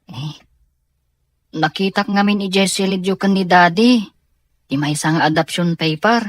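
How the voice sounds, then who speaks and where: A woman's voice: lowish voice, normal speed, no echo, close to an everyday voice. A woman playing an earnest, inquisitive young son in a radio-style family drama, questioning and respectful. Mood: hesitant.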